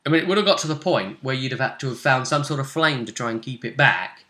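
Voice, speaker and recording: A man chatting casually as a game master, recounting a tabletop session like an animated storyteller. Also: medium pitch, quick pace. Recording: echoey untreated room.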